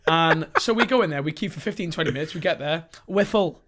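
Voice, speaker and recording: A young man from North Wales with a North Welsh accent, co-hosting a podcast and telling an animated, sweary story in a living room into a boom-arm mic. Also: fast, noisy background. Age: young adult. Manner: animated and sweary, acts out quoted voices with gestures. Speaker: podcast co-host. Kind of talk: casual storytelling chat. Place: living room with brick fireplace. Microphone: desk mic on boom arm.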